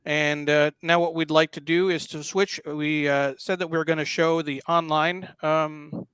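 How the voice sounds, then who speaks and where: Man hosting, halting and explanatory, close dry sound. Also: fairly high pitch, level tone, a bit bright, smooth, no room sound. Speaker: show host. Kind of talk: casual live talk walking through a newspaper.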